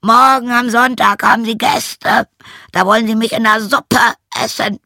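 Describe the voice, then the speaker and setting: An indignant storybook rooster, pitched very high and loud. He proclaims his troubles with emphatic alarm. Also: fairly even intonation, normal speed, gravelly, no echo, clean studio sound.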